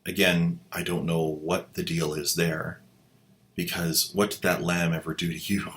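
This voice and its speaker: A man's voice: low-pitched, flat delivery, measured pace, fairly bright tone, slight background noise. A man hosting a commentary video who mocks the drama clips he plays with a sarcastic, incredulous delivery. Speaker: host, commentator.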